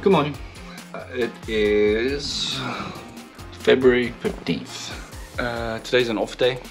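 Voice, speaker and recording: A man in his thirties from South Africa with a softened South African accent, filming a casual video diary on a phone held at arm's length. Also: on the low side. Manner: relaxed, matter-of-fact self-filming. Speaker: professional baseball pitcher vlogging. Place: hotel room and city street. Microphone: phone camera at arm's length.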